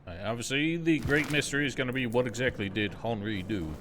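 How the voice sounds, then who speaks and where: A man's voice: medium pitch, a little fast, a bit bright, slight background noise. A man giving casual, wry and sceptical running commentary on a video game livestream.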